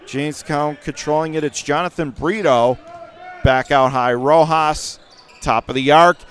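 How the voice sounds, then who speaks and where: High-pitched male basketball play-by-play announcer. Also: a little slow. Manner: clipped phrases, bursting into excited calls on big plays. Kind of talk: live radio basketball commentary. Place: courtside in a high school gym during a game.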